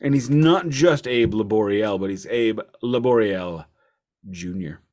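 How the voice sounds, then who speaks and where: A man co-hosting a podcast, casually discussing albums in a wry, deliberate way, repeating phrases for emphasis. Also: lowish voice, darkish tone. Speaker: podcast co-host reviewing albums.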